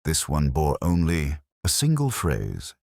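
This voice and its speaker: A man narrating a scripted mystery story in a measured, deliberate way. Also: a bass voice, wildly swooping pitch, creaky, darkish tone, no echo, clean studio sound. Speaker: audiobook narrator.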